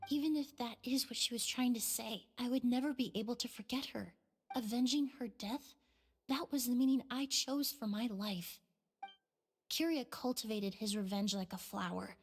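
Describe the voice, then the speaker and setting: A woman playing a gentle, thoughtful young perfumer in a video game, measured and earnest. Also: a little high, lightly acted. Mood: wistful, solemn. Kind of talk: video game dialogue acting. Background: music.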